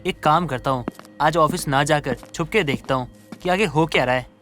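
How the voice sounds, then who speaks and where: A man's voice: a little high, normal speed, fairly bright tone, soft background music, lightly acted. A man voicing a caring, worried young husband in a moral story, questioning with concern. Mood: suspicious. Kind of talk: Hindi moral story voice acting.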